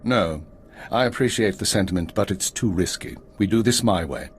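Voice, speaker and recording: An actor playing a measured, authoritative male spymaster in a video game, speaking firmly. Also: fairly low pitch, a little hiss or hum, close to an everyday voice.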